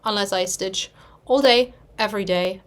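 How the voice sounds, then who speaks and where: A young German woman chatting casually in English, rambling a little and pausing to search for words as she shows her stitching projects. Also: mid-range voice. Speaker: cross-stitch vlogger. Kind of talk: casual chat.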